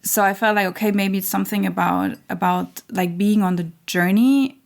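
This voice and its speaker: A woman hosting her own spiritual channel, talking in a slow, searching way with many fillers and restarts while losing her voice. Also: mid-range voice, animated, creaky. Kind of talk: reflective spiritual monologue.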